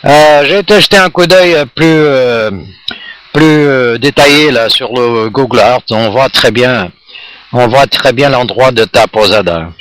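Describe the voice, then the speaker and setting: A man's voice: mid-range voice, unhurried, a bit bright. A man chatting casually and in a friendly way as an amateur radio operator during a contact.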